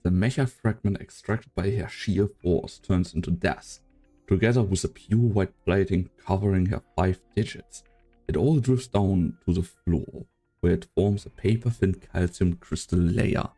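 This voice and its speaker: A man narrating a fan dub of a game story in a measured, descriptive way. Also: deep voice, mellow, faint music behind. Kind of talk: scripted narration.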